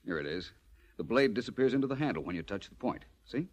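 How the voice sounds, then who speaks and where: A suave private detective from a 1940s radio play. His voice sits low and moves with lively, theatrical swings. He is polished, courtly and sure of himself. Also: fast talker. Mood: relaxed, wry. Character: suave, self-assured male private detective, wryly theatrical.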